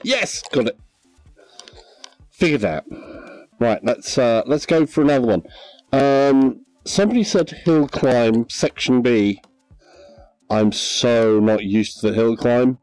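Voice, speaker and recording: A man chatting casually on a VR game livestream, relaxed and hesitant, with many ums. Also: medium pitch, slow, long pauses, smooth, dry recording, soft background music, rough recording. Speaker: livestream gamer.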